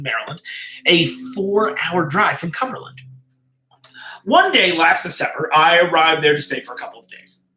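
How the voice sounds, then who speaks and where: Male narrator, crisp bright tone, dramatic pauses, measured story reading, echoey room. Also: fairly high pitch. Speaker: storyteller reading a written horror story aloud. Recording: roomy, echoey live-stream sound.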